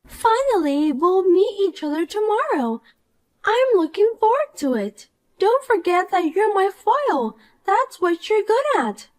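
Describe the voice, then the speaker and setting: A woman's voice: very high-pitched, sing-song, measured pace, pitched above the performer's own voice, exaggerated voice. A woman voicing a vain, spiteful young woman who was once the most popular girl at school, talking down to an old classmate. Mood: taunting. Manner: condescending, insulting, bossy. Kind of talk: animated story dialogue.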